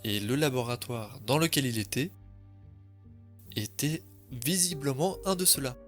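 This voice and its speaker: A man's voice: mid-range voice, measured pace, faint music behind. A man narrating a scripted story in a composed, literary storytelling manner.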